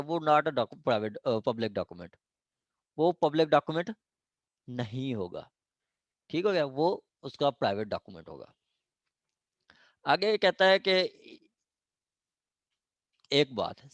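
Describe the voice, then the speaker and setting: A man's voice: on the high side, no echo. A man with a Pakistani accent lecturing informally, explaining point by point with frequent check-in questions and repeated phrases. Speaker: law lecturer. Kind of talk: informal word-by-word lecture, mixing in English terms.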